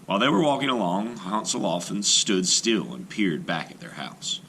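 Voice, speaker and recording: Deep-voiced man reading a folktale aloud. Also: normal speed, slight background noise, poor audio. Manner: expressive, animated storytelling. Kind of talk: storybook read-aloud.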